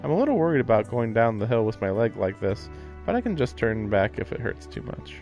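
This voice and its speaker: A man's voice: lowish voice, very animated, fairly quick, a little mellow, lo-fi sound. A man doing casual livestream commentary and reading aloud, puzzled and musing as he goes. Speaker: livestreamer playing a visual novel. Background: game music.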